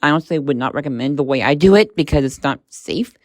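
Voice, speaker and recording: A woman with a low-pitched voice hosts a casual gadget review. She talks in a hesitant, rambling way, feeling out each point as she goes. The sound is dry and close, with very little room in it. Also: animated, fast talker, no echo. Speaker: product reviewer and channel host. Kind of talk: casual tech review vlog.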